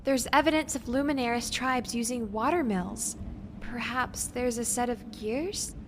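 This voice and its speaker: A woman's voice: a little high, unhurried. A woman playing a careful, bookish young researcher in an audio drama, earnest and precise, hesitant when unsure. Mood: absorbed, curious. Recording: faint background noise.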